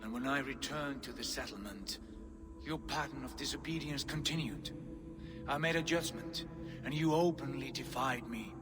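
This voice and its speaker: Guy doing a stern norse chief, slow formal talk, mid pitch, music playing under. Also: lightly acted. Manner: grave, measured, formal. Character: stern Norse chieftain man, grave and aggrieved. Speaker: older brother and settlement leader.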